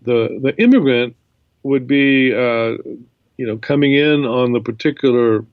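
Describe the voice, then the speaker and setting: A man answering as an interview guest, explaining his points in a measured way. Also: medium pitch, no echo, narrow, tinny audio. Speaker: guest professor.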